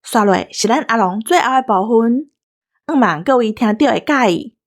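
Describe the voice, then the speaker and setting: A Taiwanese woman co-hosts a show in a fairly high voice. She banters with her partner and speaks directly to listeners. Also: lively intonation, moderate pace. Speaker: co-host.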